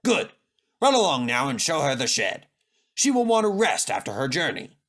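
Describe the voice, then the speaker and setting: A man's voice: medium pitch, wildly swooping pitch, moderate pace, a bit bright. A man voicing a stern, pompous older male railway controller in a children's storybook, speaking formally. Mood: formal, businesslike.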